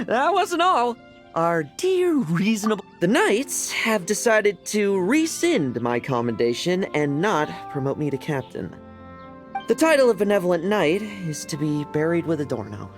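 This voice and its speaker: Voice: mid-range voice, big pitch swings, measured pace, faint music behind. An actor playing a cocky, wry young knight in a video game, with a sardonic, nonchalant delivery. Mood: wistful, wry. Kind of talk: dramatic game voice acting.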